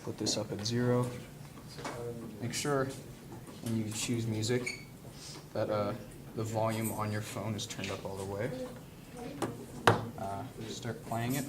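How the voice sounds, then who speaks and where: A man giving a casual hands-on tutorial in an informal, halting way, thinking aloud as he works as an instructor. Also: on the low side, level tone. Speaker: instructor demonstrating audio equipment. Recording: clearly noisy room recording with handling sounds.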